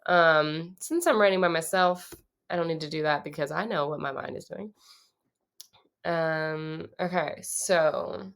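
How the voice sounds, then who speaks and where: Low gravelly young woman thinking aloud. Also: a little slow. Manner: relaxed, thinking aloud with many ums. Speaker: songwriter. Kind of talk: casual songwriting vlog.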